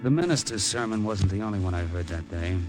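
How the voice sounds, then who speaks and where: A man's voice: sits low, animated, fast talker. An actor playing a cynical, mercenary American expatriate in 1940s radio style, terse and wisecracking as he narrates his story. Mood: grim narration. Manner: terse, wisecracking, world-weary. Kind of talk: 1940s radio acting, hard-boiled first-person narration. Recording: old radio broadcast recording, music behind.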